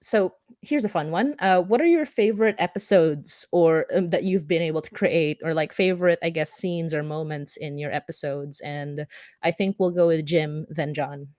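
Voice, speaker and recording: A woman's voice: sits low, moderate pace, darkish tone, no room sound, clean studio sound. A woman hosting a podcast discussion in a casual, chatty, anecdotal way. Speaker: podcast host and moderator. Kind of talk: casual chat.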